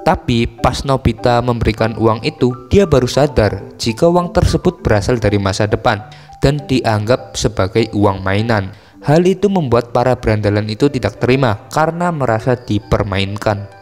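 A man's voice: mid-range voice, expressive, normal speed, a little mellow, big-room sound. A man with an Indonesian accent narrating a story recap in a casual, scripted style. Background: music.

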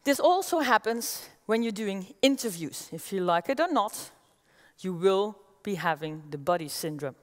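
A woman's voice: mid-range voice, moderate pace. Dutch woman giving a keynote in a hall. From Netherlands. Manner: energetic, gestures as she walks the stage. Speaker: keynote speaker. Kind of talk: conference keynote talk. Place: large auditorium stage with audience. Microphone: headset mic. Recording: long reverb of a large hall.

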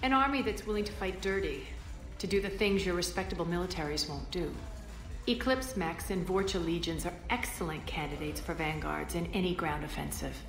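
Woman acting alien crime queen, commanding, sardonic, deliberate, fairly low voice, video game, slight room echo. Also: natural voice. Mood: smug, commanding. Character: cold, commanding alien female crime boss. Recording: slightly roomy, music behind.

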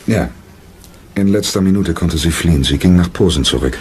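Actor as a deep-voiced, wry troupe leader. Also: male voice, moderate pace, darkish tone, lightly acted. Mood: bitter. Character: bitter, dry-humoured theatre troupe leader. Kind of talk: German radio play acting. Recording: clearly noisy background.

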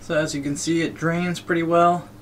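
Casual man over clear background noise. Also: on the high side, flat delivery, normal speed. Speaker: DIY video host. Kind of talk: casual how-to narration of a trailer plumbing setup.

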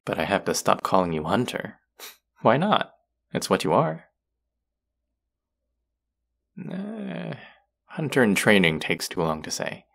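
Man playing a deep, gravelly young male vampire. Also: very animated, moderate pace, fairly bright tone, full character voice. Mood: wry, caring. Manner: earnest, pleading, wry. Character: hungry young male vampire, earnest, wry, protective. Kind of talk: intimate audio roleplay drama.

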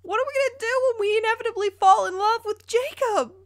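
A woman's voice: very high-pitched, a little fast. A woman reading a story aloud dramatically, breaking in with playful, incredulous commentary. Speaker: YouTuber reading fan fiction aloud.

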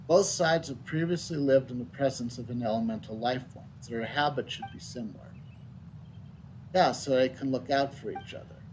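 A middle-aged man livestreaming a game, chatting casually in a rambling, halting way and reading out on-screen text. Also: medium pitch, a little hiss or hum, low-quality recording. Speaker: game streamer. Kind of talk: casual livestream chat and read-out. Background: game music.

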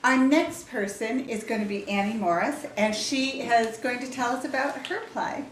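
An older British woman hosts an event from across an echoey room. Her voice is medium in pitch, picked up at a distance with noise behind it. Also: normal speed. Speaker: event host. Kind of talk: live introduction. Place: indoors in a formal parlour. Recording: echoey room, distant mic, background hiss.

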